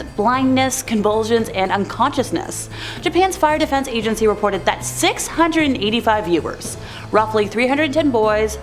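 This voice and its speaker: A woman in her twenties narrates a countdown as its host, in a fairly high voice that swings widely in pitch. She mixes scripted reading with playful, slangy asides to the viewer. Music plays behind her. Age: 20s. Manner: informal, playful, folksy slang. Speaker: host of a scary countdown video channel. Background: background music.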